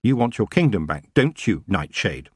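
A man's voice: lowish voice, very animated, moderate pace, darkish tone, husky, no echo, studio quality. Man voicing a stern, commanding young witch. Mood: stern challenge. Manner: firm, scolding. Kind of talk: audiobook character voice.

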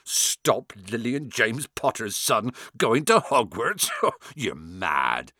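A man voicing a big, gruff, warm-hearted giant gamekeeper with rustic colloquial speech, in an audiobook. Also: mid-range voice, very animated, takes it slowly, dry recording, clean studio sound, full character voice. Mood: indignant. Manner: rustic colloquial speech, hesitant mumbles, growling and thundering. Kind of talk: audiobook character acting.

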